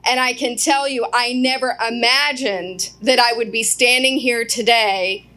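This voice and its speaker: An earnest woman in her forties speaks to a live crowd, her fairly high voice carrying through a PA from a little way off. She speaks directly to the audience and asks them questions. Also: fairly bright tone. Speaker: guest speaker. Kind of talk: public speech to a live crowd. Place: outdoor event.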